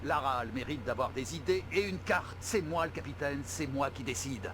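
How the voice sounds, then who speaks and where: A man's voice: mid-range voice, normal speed, close to an everyday voice. An actor playing a firm, authoritative male ship captain in video game dialogue. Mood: commanding. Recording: clear vehicle-like background noise.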